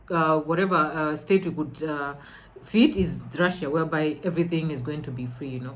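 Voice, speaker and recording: Woman from Kenya, thirties, mother, casual sit-down chat, animated, fillers, slightly low voice. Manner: animated, many fillers and restarts. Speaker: guest mother living abroad.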